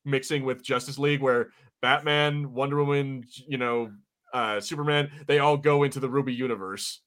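A man with a bright, punchy voice of average pitch co-hosts a podcast. He talks in loose, opinionated banter. His phrasing is blunt and informal. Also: fairly even intonation, normal speed. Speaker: podcast co-host.

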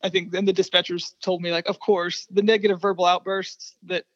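A woman speaking as a researcher guest in an interview, explaining findings in a measured way. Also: lowish voice, little pitch movement, fairly bright tone, no room sound, lo-fi sound.

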